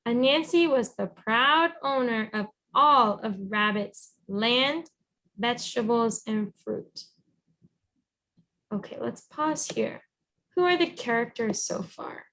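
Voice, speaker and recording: A woman reading a storybook aloud as a teacher, encouraging and pausing to guide with questions. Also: fairly high pitch, lively intonation, a bit bright, poor audio. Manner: encouraging, instructive.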